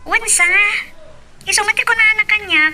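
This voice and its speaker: Casting: actress as lively young Filipina best friend, extremely high and piercingly bright, audio romance drama, faint noise behind. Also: female voice, fairly quick, distant mic, faint music behind, full character voice. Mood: encouraging. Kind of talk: Ilocano audio love drama acting.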